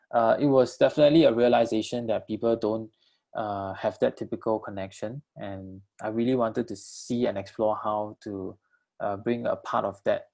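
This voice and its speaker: A man from Malaysia presents his venture in a low, gravelly voice. He talks in a halting way, with pauses, fillers and restarts, and he takes questions from an online audience. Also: a little mellow. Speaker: co-founder presenting his enterprise. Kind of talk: virtual talk with live questions.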